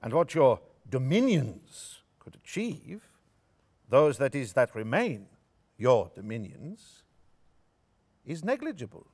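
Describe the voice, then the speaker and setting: An actor playing a courteous, smug German occupying officer with a formal, measured, condescending manner in a staged play reading. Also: male voice, medium pitch, sing-song, darkish tone, slightly rough, full character voice. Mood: smug, dismissive. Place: theatre stage before an audience.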